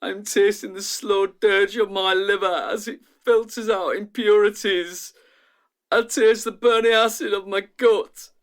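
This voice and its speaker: A man playing an anxious, overwhelmed test subject in a radio drama, halting and intense. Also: high-pitched, steady tone, clean tone. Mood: haunted, entranced.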